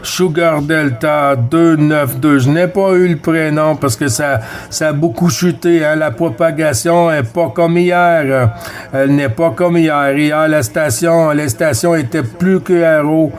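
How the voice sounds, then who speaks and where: A man's voice: on the high side, unhurried. A man with a Québécois French accent working as a CB radio operator, trading callsigns in a casual, friendly way. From Quebec, Canada. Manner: friendly, addresses others as friend.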